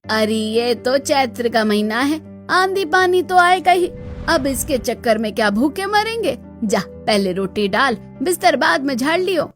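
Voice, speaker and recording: A woman's voice: sits high, expressive, measured pace, faint music behind. A woman voicing a nagging, impatient older village mother-in-law in a cartoon, scolding and sarcastic. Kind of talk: Hindi cartoon dialogue.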